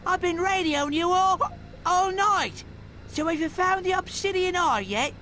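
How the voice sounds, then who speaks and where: An actor voicing a boastful, double-crossing in an animated game, with a theatrical, wheedling manner. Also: male voice, very high voice, a little slow, slight background noise, heavily acted. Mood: chummy, sly. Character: roguish, boastful, double-crossing male pirate robot sidekick. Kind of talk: animated video game cutscene acting.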